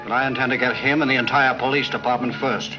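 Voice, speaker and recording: Over music on a 1940s film soundtrack comes a man acting a cold, calculating crime mastermind. He speaks in measured, formal sentences at a middling pitch and commands with quiet authority. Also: steady tone, natural voice, low-quality recording. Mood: coldly menacing.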